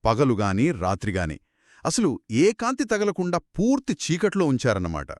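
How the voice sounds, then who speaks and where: A man's voice: medium pitch, huge pitch swings, normal speed, no room sound, clean studio sound. A man co-hosting a conversational story discussion in an analytical, explanatory way. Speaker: podcast co-host.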